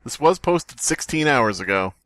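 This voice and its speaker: Bright, animated male podcast co-host. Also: mid-range voice, moderate pace, no room sound. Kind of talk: casual chat.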